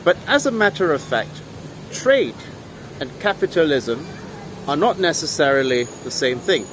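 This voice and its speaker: A man's voice: on the high side, very animated, a little slow. A young man explaining history to camera outdoors, earnest and lecturing, stressing key words, filmed on a phone at arm's length. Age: young adult. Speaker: history vlogger. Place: outdoors in a town square by old buildings. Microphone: phone held at arm's length. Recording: faint street noise with passing vehicles.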